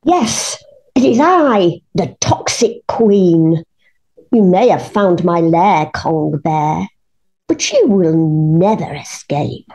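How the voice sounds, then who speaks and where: A woman's voice: fairly low pitch, animated, slow, dark tone, exaggerated voice. A woman playing a cold, gloating villainous queen in a radio pantomime, haughty and menacing. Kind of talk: local radio pantomime acting.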